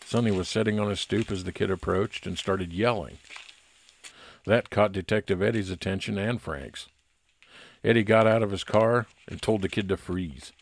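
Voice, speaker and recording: A man as a low, gravelly private eye narrator. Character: Billy, low-voiced 1940s private investigator narrating his case. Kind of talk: old time radio detective narration.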